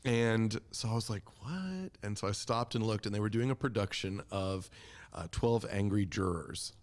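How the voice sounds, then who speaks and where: Male podcast guest, low-pitched voice, relaxed interview-style conversation. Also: normal speed. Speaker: community theater member, podcast guest.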